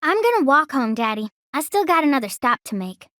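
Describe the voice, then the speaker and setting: Bright, high-pitched Southern girl. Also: sing-song, a little fast, no room sound, studio quality. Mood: blunt. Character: sharp, forthright young daughter of a sheriff. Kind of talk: video game voice acting.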